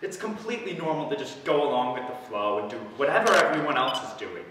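A man's voice: medium pitch, big pitch swings, fast talker, noisy background, lightly acted. A teenage boy performing a stage monologue as a scornful, bitter outsider, with intense, sarcastic, theatrical delivery. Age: teens. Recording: very echoey hall, far from mic.

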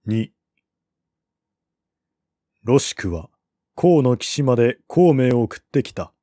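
A man narrating a historical novel as an audiobook, with a measured, expressive literary delivery. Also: mid-range voice, no echo, clean studio sound.

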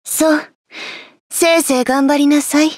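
Anime game nightmare fairy played by a woman: reserved, cool, slightly high, very dry sound. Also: steady tone, a little fast, no room sound, lightly acted. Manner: composed, aloof, reserved. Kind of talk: Japanese anime-style game voice acting.

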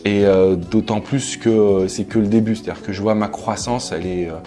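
Confident French man, low voice over music. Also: moderate pace. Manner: relaxed, confident, frequent "euh" and "tu vois". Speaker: love coach and entrepreneur, guest. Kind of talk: casual interview chat. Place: bright living room, indoors, on a sofa.

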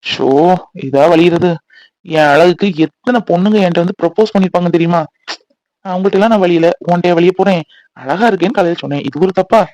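Actor as high-voiced, earnest young Tamil bridegroom. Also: male voice, fast talker, darkish tone, dry recording, close to an everyday voice, poor audio. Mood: smitten. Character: earnest, persistent young bridegroom devoted to his scornful wife. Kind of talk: Tamil TV serial acting.

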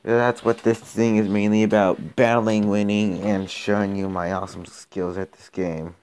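A young man with a slightly low voice, raspy from a recent illness, talks over his phone gameplay in a loose, rambling way. He trips over his own sentences and grows exasperated when a match goes badly. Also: little pitch movement, moderate pace, darkish tone. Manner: rambling, self-interrupting, gets exasperated during play. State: raspy from being sick. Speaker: gaming channel host. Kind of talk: casual gameplay commentary.

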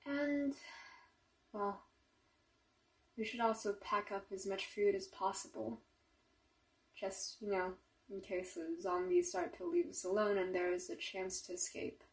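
A woman playing a snappish, grudgingly caring young zombie apocalypse survivor in an audio roleplay, curt and halting with dry sarcasm. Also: medium pitch, expressive. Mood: weary, dry. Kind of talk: first-person audio roleplay, naturalistic acting. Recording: slightly distant from the mic.

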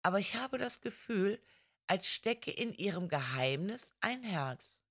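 A woman voicing a proud, defiant young woman who confides family secrets in an audiobook, earnest, formal and deliberate. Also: mid-range voice, big pitch swings, fairly bright tone. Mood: defiant, desperate. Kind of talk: audiobook character reading.

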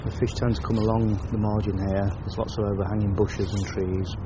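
A man calmly talking through his fishing setup outdoors on a lake bank, sometimes dropping to a hush near the water. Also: fairly low pitch, fairly quick, noisy background. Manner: explains calmly, drops to a near-whisper by the water. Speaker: angler presenting a fishing video. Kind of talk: casual on-the-bank fishing commentary.

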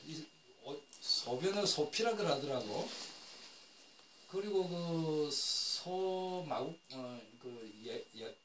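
A man's voice: on the high side, fairly bright tone, far from the mic. An older man lectures in a slightly raised voice, rambling and stopping to correct himself as he explains. He is recorded from across an echoey lecture room, with faint noise behind him. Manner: rambling, self-correcting explanation with many fillers. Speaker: lecturer on traditional floor heating. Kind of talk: classroom lecture.

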